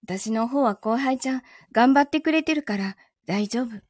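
A woman acts out an understanding, quietly lonely girlfriend in a radio drama. Her fairly high voice stays composed and gentle, with a Kansai accent, recorded close and dry. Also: normal speed, no room sound, lightly acted. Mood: gentle, caring. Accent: Kansai Japanese. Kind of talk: Japanese voice drama acting with inner monologue.

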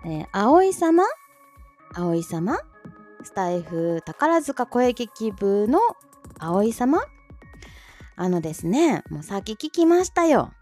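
Female livestream host. Chatty, giggly announcements with highly varied pitch over a faint music bed. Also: very animated, slow, pauses often. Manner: bubbly, giggly, reacts to listener comments. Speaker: host of a short audio livestream, amateur voice-drama club member. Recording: soft music bed under voice. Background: soft background music.

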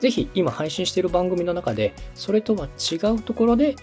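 A man's voice: high-pitched, very animated, a little fast, a little mellow, faint music behind. A man hosting and coaching, explaining things step by step in a polite, instructive way. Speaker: podcast host and coach, a radio director. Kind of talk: structured explanatory coaching on podcast production.

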